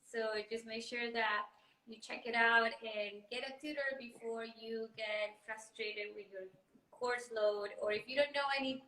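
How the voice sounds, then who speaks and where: Bubbly young woman co-host, bright voice. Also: medium pitch, reverberant, low-quality recording. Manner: bubbly, chatty, laughs often. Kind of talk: casual live-stream chat.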